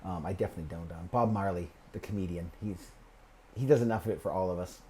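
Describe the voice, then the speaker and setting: A man in his forties from Maine hosting a podcast, chatting casually in a rambling way that trails off softly, with frequent laughs. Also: low-pitched, fast, a crowd in the background. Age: 40s. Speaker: podcast host. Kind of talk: casual interview chat.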